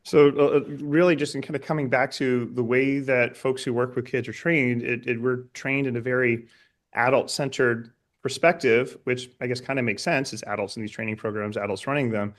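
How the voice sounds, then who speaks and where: Man, interviewer. Average pitch, informal and conversational, hesitant and roundabout in getting to the point. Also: steady tone, normal speed. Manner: hesitant, roundabout, tentative phrasing. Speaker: interviewer, educator.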